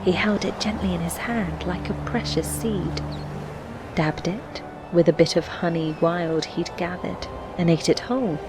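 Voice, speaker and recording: A woman narrating a fantasy adventure game, reciting rhyming story verse in a measured, poetic way. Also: on the low side, steady tone, noisy background. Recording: music behind the voice. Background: background music.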